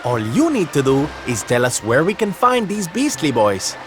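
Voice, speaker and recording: A man's voice: on the high side, big pitch swings, moderate pace. A man voicing a flamboyant, theatrical male entertainer who calls people honey and darling, in a video game cutscene. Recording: game audio with loud music behind.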